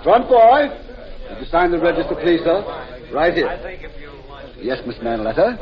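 Lively hotel clerk, old radio. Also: male voice, on the high side, unhurried, crowd noise. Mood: courteous. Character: courteous, helpful male hotel desk clerk. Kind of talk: 1940s radio acting. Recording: dull vintage 1940s radio recording.

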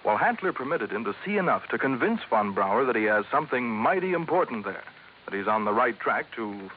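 An earnest, fussy insurance executive in an old radio play, acted by a man. He talks quickly and with lots of inflection, sounding worried about business. The sound is narrow and tinny. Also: medium pitch, very animated, lightly acted. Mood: insistent. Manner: earnest, fussy, hesitant phrasing. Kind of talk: 1950s radio drama acting. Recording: thin, noisy 1950s radio recording.

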